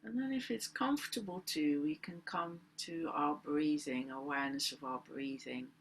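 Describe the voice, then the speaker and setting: A woman leading a guided meditation as a teacher, speaking in gentle, deliberate phrases with long pauses. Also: sits low, gravelly, breathy edge, rough recording. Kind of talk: guided meditation, unscripted spoken instruction.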